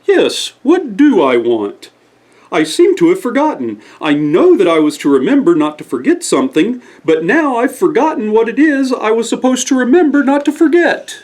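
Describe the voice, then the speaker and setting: A man's voice: very high-pitched, sing-song, moderate pace, darkish tone, pitched above the performer's own voice, full character voice. A man voicing a forgetful, cheerful, muddled owl from a bedtime story, dithering and tripping over his words. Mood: puzzled. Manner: dithering, scatterbrained, tongue-twisted.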